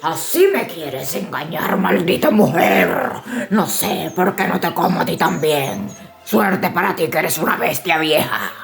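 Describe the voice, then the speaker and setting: A woman voicing a cruel, hungry male ogre in a storybook, with a gravelly, threatening, drawn-out delivery. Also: lowish voice, animated, over music, pitched below the performer's own voice. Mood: angry, menacing.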